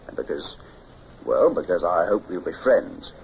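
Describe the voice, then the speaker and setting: An actor playing an earnest, outspoken naval lieutenant, emphatic and blunt, in period radio drama style. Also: male voice, low-pitched, expressive. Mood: troubled, earnest. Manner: emphatic, blunt, hesitant pauses. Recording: dull old radio recording with hiss.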